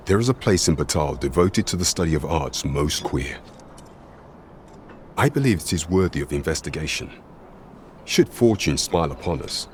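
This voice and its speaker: Fantasy game royal advisor: very deep, grave, measured and courtly, archaic diction, slight ambient noise behind. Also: male voice. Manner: formal, deferential, measured.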